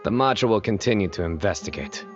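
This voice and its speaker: Low, composed, deadpan officer played by a man. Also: dull audio, faint music behind, close to an everyday voice. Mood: formal. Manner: formal, measured, deadpan. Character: stern, composed male law-enforcement officer. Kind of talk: video game voice acting.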